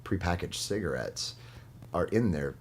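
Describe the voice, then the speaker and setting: A man talking as a guest in a casual studio conversation, earnest and halting, often restarting his sentences. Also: sits low, expressive, creaky, a little hiss or hum.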